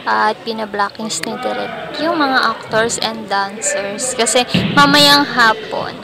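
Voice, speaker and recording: A woman's voice: a little high, takes it slowly, gravelly, background noise. A woman with a Filipino accent narrates a casual vlog, chatty and playful with slangy asides. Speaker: vlogger and assistant stage director. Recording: voice-over under loud music.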